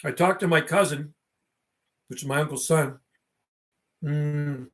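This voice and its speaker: A man's voice: fairly high pitch, unhurried. A middle-aged man rambling casually on a livestream, talking in a slangy, reminiscing way. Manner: slangy, reminiscing, often ends phrases with "man". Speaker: livestream host.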